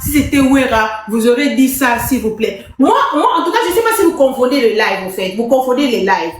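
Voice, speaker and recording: Host, woman, high voice, brisk, echoey room with faint noise. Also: animated. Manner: brisk, prompts callers. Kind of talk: live call-in show.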